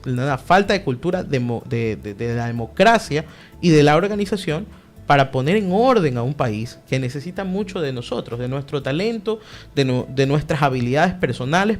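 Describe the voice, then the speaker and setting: Emphatic man, lively pitch. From Ecuador. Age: young adult. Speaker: political guest, party director. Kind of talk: radio interview answer.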